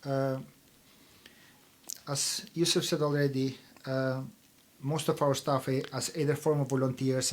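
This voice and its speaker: A man whose first language is Albanian speaks at a middle pitch, answering interview questions with care. His delivery is measured and hesitant, with plenty of pauses and fillers as he explains the charity's work. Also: a little mellow. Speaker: charity chief executive.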